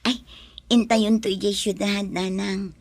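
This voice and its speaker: A woman playing a kind, affectionate young bride-to-be in an Ilocano radio drama, speaking softly and reassuringly. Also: medium pitch, little pitch movement, measured pace, no room sound. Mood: respectful, warm. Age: young adult.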